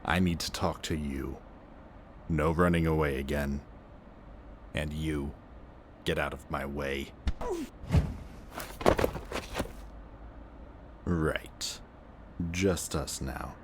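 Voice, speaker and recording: A man playing a sardonic, gruff but protective antihero villain in an intense, emotional audio roleplay. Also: a bass voice, fairly even intonation, takes it slowly, pauses often, a little hiss or hum. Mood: tense, hurt.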